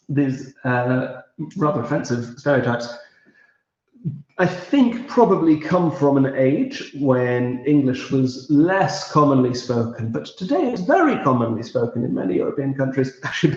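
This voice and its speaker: A man who grew up in Belgium hosts a casual online pub quiz, chatting in a rambling, friendly way as he reads out messages. His voice sits at a middling pitch and carries a noticeable room echo. The sound is a little dull and lo-fi. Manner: chatty and meandering, friendly asides. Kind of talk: casual livestream chat, reading out messages. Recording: roomy, slightly dull audio.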